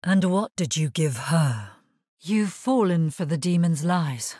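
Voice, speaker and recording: A woman playing a grave, resolute necromancer hero in a video game, husky-voiced, speaking in a measured, solemn and terse way. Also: lowish voice, animated, mellow, dry recording, clean studio sound. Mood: firm, guarded. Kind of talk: scripted video game character lines.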